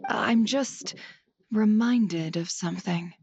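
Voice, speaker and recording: A woman's voice: mid-range voice, slow, a little mellow, slightly rough, no echo, highs cut off. An actress playing a cool, matter-of-fact woman in a video game. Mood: grave, matter-of-fact.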